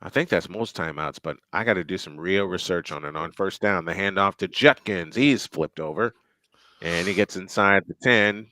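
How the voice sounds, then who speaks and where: A man's voice: low-pitched, normal speed, bright, forceful tone, no room sound. A man doing live play-by-play commentary on a football game, chatty and thinking aloud between plays.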